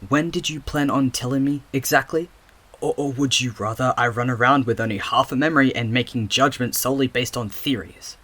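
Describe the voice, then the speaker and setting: A man acting in an audio drama as a troubled, awkward young man with lost memories, speaking hesitantly and haltingly. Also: medium pitch, fairly bright tone, slight background noise, natural voice. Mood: frustrated.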